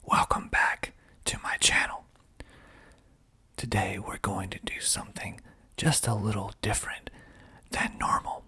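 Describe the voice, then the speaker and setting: A man from the southern United States whispering in a breathy, deliberate, conversational way as an ASMR channel host. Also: fairly low pitch, flat delivery, sharp tone. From southern United States (Georgia). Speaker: filmmaker hosting his own channel.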